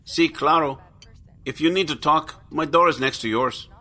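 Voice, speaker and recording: A man acts out a sociable young buddy in a story. His voice sits a little high with a sharp, forward tone. He talks casually and slangily, like a protective brother. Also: moderate pace. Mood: concerned, friendly. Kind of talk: audio horror story character voice.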